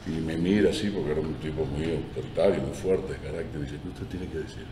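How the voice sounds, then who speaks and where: Deep-voiced Cuban man telling a story. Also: fast talker. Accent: Cuban Spanish. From Cuba. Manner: animated anecdote-telling, acting out voices in quotes. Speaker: guest actor. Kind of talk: storytelling in a talk show. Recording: roomy echo, distant mic, audible room noise.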